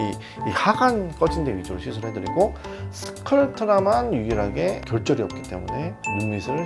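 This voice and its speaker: A man's voice: medium pitch, vocal fry. A man who is a skin doctor, explaining a cosmetic procedure and giving advice to viewers. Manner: explanatory, advising with examples. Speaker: dermatologist.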